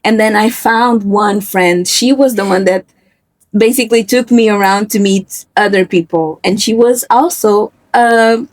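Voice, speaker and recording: A woman in her twenties who grew up in Portugal, speaking softly and reflectively as a guest in a candid interview. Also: medium pitch, measured pace, dry recording. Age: 20s. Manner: soft-spoken, reflective, with frequent fillers.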